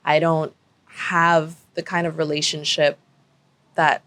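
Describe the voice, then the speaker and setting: A woman's voice: low voice, flat delivery, takes it slowly, a bit bright. A woman artist from the Bahamas on a panel, reflective and correcting herself as she goes. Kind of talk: panel discussion.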